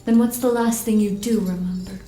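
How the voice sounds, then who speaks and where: A woman's voice: medium pitch, fairly even intonation, brisk, mellow, echoey room, soft background music, natural voice. A woman playing a protective, defiant female detective in an audio drama, with an earnest, firm way of speaking. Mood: concerned, probing.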